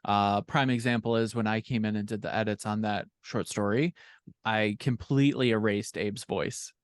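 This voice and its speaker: A man's voice: fairly low pitch, steady tone, normal speed, dry recording. A man speaking conversationally as an author guest in a podcast interview, explaining his experience. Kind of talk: casual conversational interview answers.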